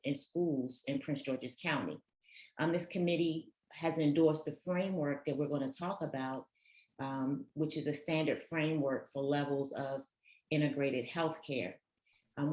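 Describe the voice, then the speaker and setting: A woman with a very low voice introduces an online panel, stopping often on fillers as she finds her words. Her voice rings in a roomy, echoing space. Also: darkish tone. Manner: hesitant, many "um" fillers. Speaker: subcommittee co-chair and moderator. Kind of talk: hosting a virtual learning session.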